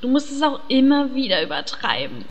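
A woman's voice: sits high, big pitch swings, brisk, slight background noise. A woman voicing a squeamish female adventurer who tries to keep the peace and speaks in a fussy, polite way.

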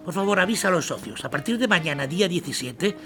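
An actor from playing a resolute, formal association leader in an audio drama. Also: male voice, sits high, lively intonation, quick pace, fairly bright tone, faint music behind. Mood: resolute, stern. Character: resolute, formal man leading a workers' association.

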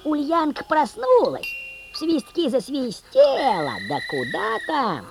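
A woman narrating a folk tale as an animated storyteller in an audio play. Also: a little high, exaggerated voice.

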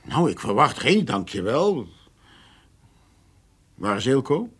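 Husky, awkward farmer husband. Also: male voice, medium pitch, big pitch swings, slow, fairly bright tone, heavily acted. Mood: sheepish, evasive. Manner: hesitant, stammering, casual-sounding. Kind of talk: radio play acting.

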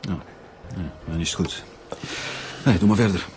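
Demanding Flemish police chief, lowish voice male actor. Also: normal speed, darkish tone, slight background noise, close to an everyday voice. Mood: suspicious. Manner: formal, probing, authoritative. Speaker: actor in a radio drama. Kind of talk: naturalistic radio drama dialogue. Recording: radio drama with music bed.

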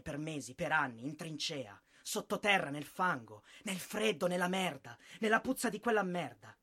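An actor playing a bitter, disillusioned young soldier killed in war, in a husky, theatrical monologue. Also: male voice, sits high, fairly bright tone, heavily acted. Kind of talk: dramatic stage monologue, radio drama.

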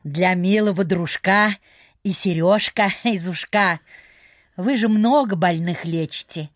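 A woman's voice: lowish voice, measured pace, dry recording, studio quality, close to an everyday voice. An actress playing a smug, confiding provincial lady who peddles folk cures in a radio play, in knowing, old-fashioned folksy speech. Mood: confiding, self-important. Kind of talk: Soviet radio theatre acting.

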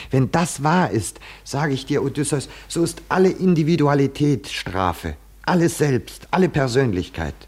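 A man in a 1950s German radio drama, playing an impassioned, philosophical poet-seer who speaks in fervent rhetoric with deliberate dramatic pauses. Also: low-pitched, a little mellow. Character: impassioned philosophical male poet-seer awaiting his blindness.

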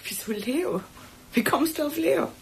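A woman's voice: sits high, lively intonation, measured pace. An actress playing a distressed, evasive woman guarding a secret in a German radio play, pleading and hesitant. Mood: evasive, anxious.

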